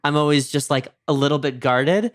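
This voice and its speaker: Smooth-voiced podcast co-host from New York, dry sound. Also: male voice, on the high side, fairly quick, dry recording. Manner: candid, swears casually. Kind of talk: casual chat.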